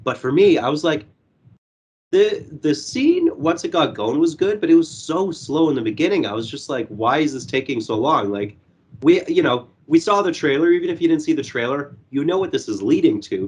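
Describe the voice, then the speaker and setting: Hoarse, rambling young man. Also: medium pitch, lively intonation, brisk, darkish tone. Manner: rambling, profane, lots of fillers. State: hoarse, losing his voice. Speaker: movie reviewer guest. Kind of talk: casual podcast chat over a call.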